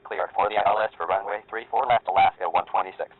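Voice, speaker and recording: A man voicing an airline pilot who reads back air traffic clearances in clipped radio phrasing. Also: fairly low pitch, steady tone, natural voice. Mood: routine. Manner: quick clipped radio readbacks. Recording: narrow radio band.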